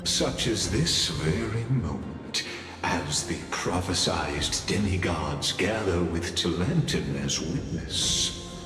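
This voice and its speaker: A man voicing a grand, cryptic theatrical guide in a video game, portentous and drawn-out like a showman. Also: sits low. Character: grand, cryptic theatrical narrator guiding a spectator. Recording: echoey hall reverb, music behind.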